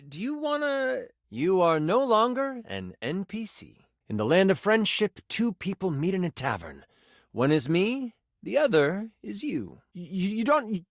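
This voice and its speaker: A man voicing a nerdy, earnest, easily flustered tabletop game master in a video game, with an eager, stammering, expressive delivery. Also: high voice, dry recording, studio quality, full character voice. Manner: stammering, eager, gushing, self-conscious.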